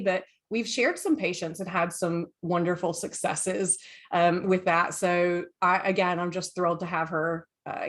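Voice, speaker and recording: Chatty American woman hosting a podcast. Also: lowish voice. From United States. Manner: chatty, enthusiastic, lively asides. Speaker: podcast host. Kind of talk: casual podcast chat, reading notes.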